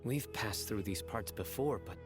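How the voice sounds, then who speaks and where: An actor playing a stern, watchful young man who speaks in a low, measured, cautious way in a game cutscene. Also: lightly acted. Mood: wary. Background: music score.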